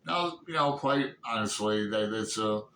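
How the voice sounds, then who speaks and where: A man in his sixties chatting casually and unscripted about books, halting and rambling as he thinks aloud. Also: lowish voice, sharp tone. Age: 60s. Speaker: book reviewer vlogger. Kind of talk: casual unscripted book chat. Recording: roomy echo, distant mic, background noise.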